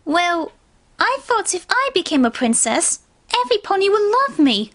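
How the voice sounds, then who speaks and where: A woman's voice: very high voice, very animated, normal speed, fairly bright tone, heavily acted. A woman voicing a haughty yet insecure young female pony in a cartoon fan fiction, whiny and theatrical. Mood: wistful.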